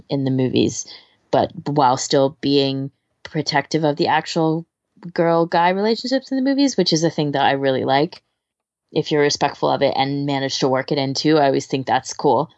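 A woman's voice: extremely low pitch, darkish tone, no room sound, highs cut off. A woman in casual, informal chat as a podcast co-host, rambling and hesitant as she gives recommendations, often trailing off. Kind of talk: casual chat, recommending fan fiction audio.

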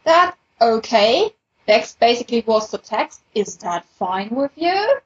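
A woman with a husky voice talking casually in a podcast conversation. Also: on the high side, very animated, measured pace. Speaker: podcast participant, fan event organiser. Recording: dull, lo-fi voice recording.

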